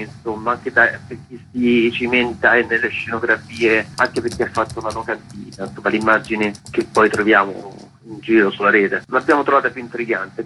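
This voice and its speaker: A man's voice: mid-range voice, rough recording. A man, a festival organizer chatting loosely in a radio interview, full of fillers. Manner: rambling, relaxed, many fillers.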